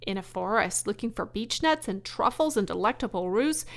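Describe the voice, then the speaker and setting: A woman's voice: mid-range voice, expressive, normal speed, natural voice. A woman voicing a naive, boastful young male pig in a children's storybook, earnest and childlike. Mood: eager.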